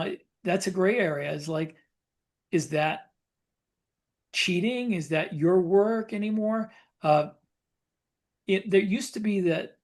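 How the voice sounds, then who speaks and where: A man chatting casually as a podcast co-host, explaining things in a halting way. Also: a little high.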